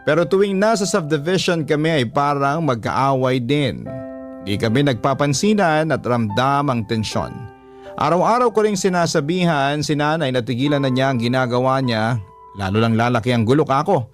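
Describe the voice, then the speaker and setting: Man narrating a letter over soft music. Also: medium pitch, moderate pace. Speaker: radio drama narrator. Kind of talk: scripted first-person letter narration.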